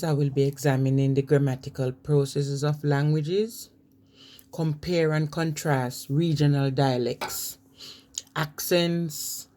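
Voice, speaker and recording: A woman from Jamaica, giving an informal talk on language to camera and reading from her notes with pauses and restarts. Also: sits low, warm tone. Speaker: vlogger.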